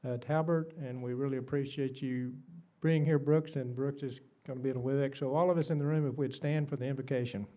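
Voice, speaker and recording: A soft-spoken man, the mayor, chairing a city council meeting with easygoing, plain speech and frequent fillers. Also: mid-range voice, fairly even intonation, fairly quick, few pauses. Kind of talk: formal meeting chairing, roll call. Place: council chamber.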